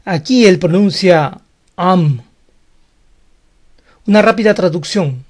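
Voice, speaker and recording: Frank, high-voiced male Spanish-speaking teacher. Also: animated, slow pace, a little mellow. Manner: frank, defensive, explanatory. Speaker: English teacher. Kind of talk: online lesson monologue.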